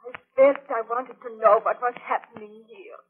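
An actress playing a grieving, gentle young noblewoman who speaks softly and sorrowfully in a 1930s radio drama. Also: a little high, fairly even intonation, moderate pace, breathy edge. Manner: soft, sorrowful. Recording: tinny lo-fi 1930s radio transcription.

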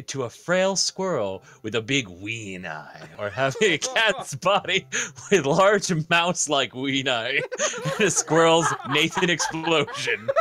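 A man reading aloud playfully among friends in a word game, prone to breaking into laughter. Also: a little high.

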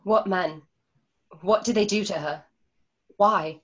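A woman playing a calm, guarded healer in a radio drama, speaking in a measured, solemn way. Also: medium pitch, dull audio. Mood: questioning. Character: calm, guarded female healer nicknamed Delicate Poison. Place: remote session on video call at home.

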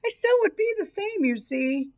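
A woman's voice: very high voice, animated, normal speed, darkish tone. A woman in her fifties reading a children's picture book aloud as a teacher would. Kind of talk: children's storybook read-aloud. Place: small indoor school room or library.

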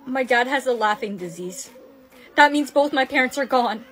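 A woman's voice: sits high, lively intonation, moderate pace, fairly bright tone. A woman voicing a frightened teenage schoolgirl who pleads and defends herself. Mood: sad.

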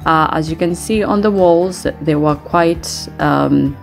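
A middle-aged woman narrating a casual home vlog in a halting, hesitant way, pausing often. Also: deep voice, gravelly, faint music behind. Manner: hesitant, halting delivery with frequent pauses. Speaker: home renovation vlogger.

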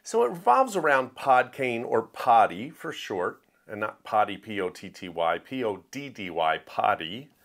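A man's voice: on the low side, big pitch swings, measured pace, few pauses, a bit bright. A man with a Philadelphia accent giving a casual, conversational book review as a show host. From Philadelphia, USA.

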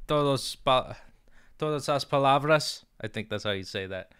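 A young man chatting casually on a live stream, jokingly boastful, reading chat aloud and trying out halting beginner Portuguese in a small home room on a close studio mic. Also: mid-range voice, animated, a bit bright, clean tone, no room sound. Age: young adult. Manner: jokey, playfully boastful, mixes in halting learner Portuguese. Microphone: studio mic on arm with headphones.

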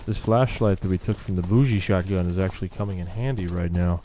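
A man's voice: low-pitched, fairly quick, mellow, slight background noise. A man doing casual, sweary gameplay commentary, muttering to himself as he plays. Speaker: gamer, video host.

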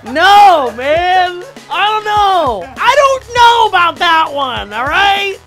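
A woman's voice: very high-pitched, very animated, takes it slowly, over music. A woman playing along in a quiz game with casual comedic banter, thinking aloud. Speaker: game show contestant.

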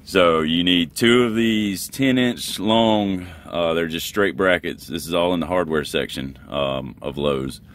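Deep-voiced man, casual how-to vlog. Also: measured pace, few pauses, fairly bright tone, a little hiss or hum. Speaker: truck driver and vlogger. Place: inside a truck cab.

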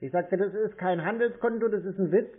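A man's voice: high voice, fairly quick. A man in a casual phone conversation over a narrow line, speaking bluntly with a naive air. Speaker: call recipient baiting phone scammers.

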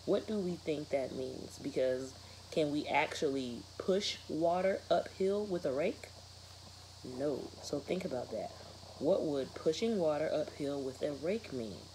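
A woman in her thirties reads to her students like a teacher, pausing to question them. Her voice is low and soft-edged, recorded in a bedroom with a little background noise. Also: animated, rough recording. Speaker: teacher reading aloud to students. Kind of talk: read-aloud of a children's novel with questions to students. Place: bedroom, indoors, sitting in bed.

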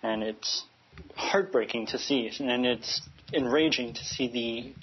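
A man's voice: medium pitch, a little slow, husky, lo-fi sound. A man from Chicago speaking earnestly as a radio interview guest, often restarting his phrases as he searches for words. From United States, Chicago.

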